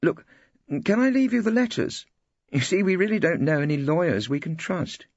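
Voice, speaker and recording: A man's voice: high voice, big pitch swings, moderate pace, no room sound, voice pushed up, full character voice. A man plays a proud married woman in an audiobook. The voice is lifted well up and swoops as it confides and appeals. The sound is slightly dull. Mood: confiding, appealing. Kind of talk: audiobook character dialogue.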